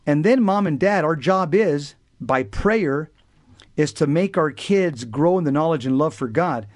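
Man, radio co-host, earnest and emphatic, fairly high voice, close dry studio mic. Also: lively intonation, measured pace, no room sound. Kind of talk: radio talk show storytelling and teaching.

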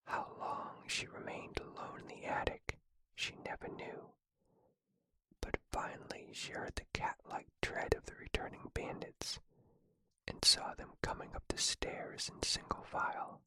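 A narrator reading a children's storybook in a soft, unvoiced whisper, slow and hushed, close to the mic. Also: low-quality recording. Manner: slow, even, hushed delivery.

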